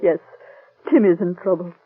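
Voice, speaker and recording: An anxious working-class wife, halting and plain-spoken, with a husky, dry voice. It sounds like a tinny old radio broadcast. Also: female voice, fairly low pitch, no echo. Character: worried working-class wife, Mrs. McNulty. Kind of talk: 1940s radio acting.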